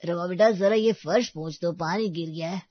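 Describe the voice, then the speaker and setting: A woman voicing a gentle, long-suffering old grandfather in a storybook tale, mild and reassuring. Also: on the low side, normal speed, no room sound, highs cut off, close to an everyday voice. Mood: meek. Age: older.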